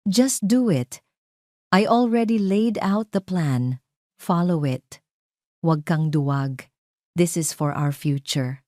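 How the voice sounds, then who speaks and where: A woman's voice: lowish voice, animated, takes it slowly, long pauses, dark tone, no echo, clean studio sound. A Filipino-accented woman voicing a cold, commanding mistress who speaks bluntly in an audio drama. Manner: blunt, direct, bossy.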